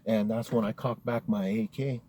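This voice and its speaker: A man's voice: on the low side, steady tone, moderate pace, mellow. A young American man telling a personal horror story casually, swearing freely and using slangy talk like dude and bro. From Colorado, United States. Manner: profane, slangy, addressing listeners as dude and bro. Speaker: storyteller, host of a story-time series.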